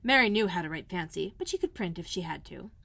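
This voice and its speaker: A woman narrating a children's storybook as a read-aloud host, in a measured, expressive storytelling manner. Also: lowish voice, vocal fry, breathy edge. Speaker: elementary school librarian, host.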